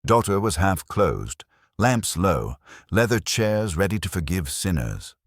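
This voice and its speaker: A man reading a first-person detective story as an audiobook narrator, in a measured hardboiled style. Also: deep voice, sing-song, dry recording, clean studio sound.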